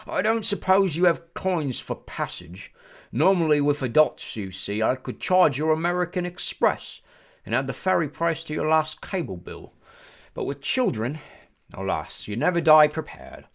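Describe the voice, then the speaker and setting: A man's voice: a little high, sing-song, normal speed, gravelly, pitched above the performer's own voice. A man voicing a tall, elegant, coldly greedy ferryman of the dead in an audiobook, with a British accent and a condescending, mock-sweet manner.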